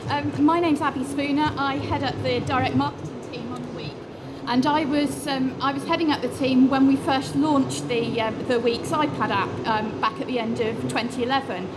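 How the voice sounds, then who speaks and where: High-voiced woman on a panel. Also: steady tone. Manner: measured, frequent ums. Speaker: panelist, marketing head. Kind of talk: conference panel introduction. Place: conference hall panel stage. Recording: conference recording with loud background noise.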